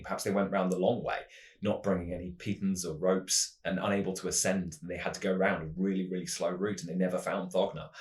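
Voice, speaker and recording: A man hosting a tabletop roleplaying channel, explaining ideas in a measured, storytelling way. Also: deep voice. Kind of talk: semi-scripted explanatory narration. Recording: roomy, echoing voice recording.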